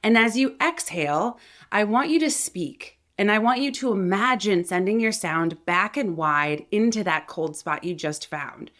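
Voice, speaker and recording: A woman's voice: medium pitch, expressive, unhurried, fairly bright tone. A woman speaking as a voice coach and host, explaining things to viewers in an articulate, conversational way. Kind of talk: instructional talk to viewers.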